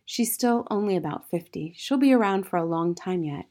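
A woman playing a gentle, modest young schoolteacher in a storybook, confiding in a soft, restrained way with quiet resilience. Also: on the low side, lively intonation, normal speed. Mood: resigned.

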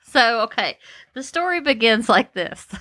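Female podcast co-host, slightly nasal from congestion, bright, playful casual storyteller. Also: a little high, no echo. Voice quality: slightly stuffed-up nose. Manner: playful, slangy. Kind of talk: casual comic chat and storytelling.

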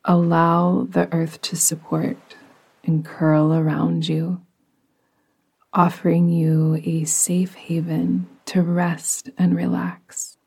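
A woman guiding a relaxation meditation in a soft, breathy, partly whispery voice with a soothing, gentle, unhurried manner. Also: lowish voice, flat delivery. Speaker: yoga nidra meditation guide.

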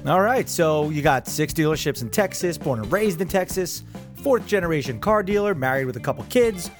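A man's voice: a little high, expressive, moderate pace, soft background music. A man hosting a podcast in a casual, upbeat, chatty interview style. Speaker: podcast host.

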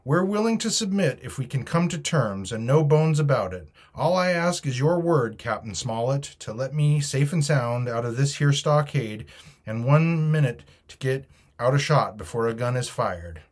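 A man's voice: mid-range voice, animated, moderate pace, voice pushed up, lightly acted. A man voicing a bold, cheery, wily pirate leader with a hearty, ingratiating manner in a storybook reading. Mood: bold, bargaining.

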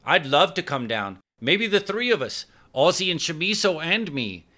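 An eager young man, voiced by a male audiobook narrator. The voice is bright and lifted in pitch. He sounds like a friendly, casual crewmate in a novel's dialogue. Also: very animated, moderate pace.

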